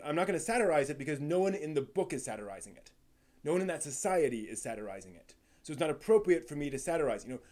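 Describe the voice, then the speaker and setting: A man's voice: fairly high pitch, darkish tone. A man hosting a live stream, talking casually off the cuff to viewers, often rephrasing as he thinks aloud. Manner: halting, thinking aloud, rephrasing mid-sentence.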